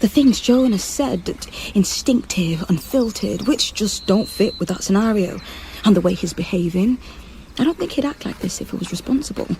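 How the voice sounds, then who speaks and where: A woman's voice: mid-range voice, animated, normal speed, warm tone, a little hiss or hum, natural voice, rough recording. An actress playing a determined, frustrated undercover policewoman in a naturalistic radio drama, speaking in an intense, insistent way. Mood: earnest, urgent.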